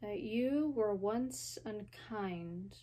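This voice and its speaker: Very slow theatrical young woman. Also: medium pitch, dark tone. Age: young adult. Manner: deliberate, theatrical, with weighty pauses. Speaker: drama student performer. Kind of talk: dramatic recitation of a Shakespeare sonnet. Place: small home room, indoors.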